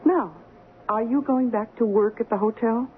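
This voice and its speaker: An actress playing a kindly confidante in a radio drama, gently explaining and reassuring. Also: female voice, fairly high pitch, normal speed, phone-quality sound, lightly acted. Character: kindly, reassuring confidante counseling a troubled girl. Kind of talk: old-time radio drama acting. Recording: thin, noisy old radio recording.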